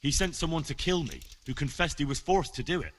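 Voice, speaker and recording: Earnest young investigator, lively pitch. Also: male voice, brisk. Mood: urgent. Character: young man, earnest, stubborn investigator in medieval times. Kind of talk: video game drama acting.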